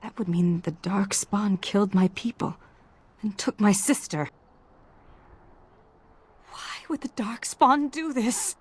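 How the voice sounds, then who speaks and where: Husky, intense, bitter elven woman. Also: medium pitch, lively intonation, takes it slowly, pauses often. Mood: shaken, bitter. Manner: defiant, intense. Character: fierce, bitter, vengeful young female elf. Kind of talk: video game character acting.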